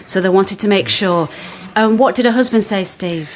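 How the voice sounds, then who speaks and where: An actress playing a senior woman detective who leads her team briskly, with a dry sense of humour. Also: mid-range voice, natural voice. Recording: radio drama with background noise.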